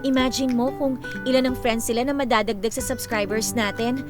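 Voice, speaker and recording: A woman acting a spirited, feisty young female student in a Filipino radio drama, earnest and expressive. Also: a little high, fairly quick, music in the background, lightly acted. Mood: excited.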